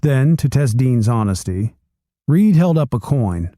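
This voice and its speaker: A man reading a nonfiction book aloud in calm, measured storytelling narration. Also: mid-range voice, no room sound, studio quality.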